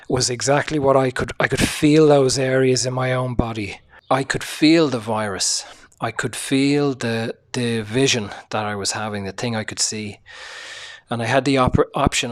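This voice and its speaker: A sick man hosting a casual solo podcast, speaking quietly with a hoarse, tired voice, swearing often and using many fillers. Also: medium pitch. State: sick, low energy, voice hoarse. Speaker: podcast host.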